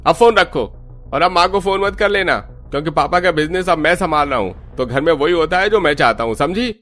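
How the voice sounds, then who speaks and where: A man's voice: fairly high pitch, lively intonation, fairly quick, a bit bright, voice pushed up. A man playing an arrogant, impatient rich brother in a cartoon, curt and scolding. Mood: irritated, scornful.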